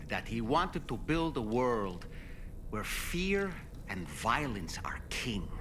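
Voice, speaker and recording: An actor playing a brooding, articulate advisor in a video game cutscene, with grave, deliberate, formal phrasing. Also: male voice. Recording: clear low rumbling background noise.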